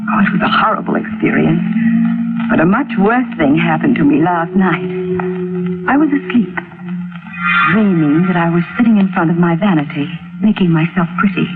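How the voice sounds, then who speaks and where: A woman's voice: mid-range voice, natural voice. An actress playing a frightened, nervous wife in a 1940s radio drama, telling her story with dramatic intensity. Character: frightened, nervous wife haunted by creeping walls. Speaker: lead actress in a radio mystery. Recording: tinny old radio broadcast with music.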